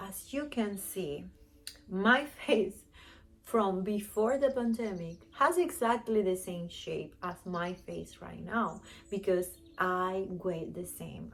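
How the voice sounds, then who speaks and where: A woman in her thirties from Panama, with a Panamanian Spanish accent, talking candidly to camera as a vlogger with many fillers. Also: medium pitch. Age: 30s. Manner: chatty, candid, frequent fillers like "you know". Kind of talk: casual chat to camera.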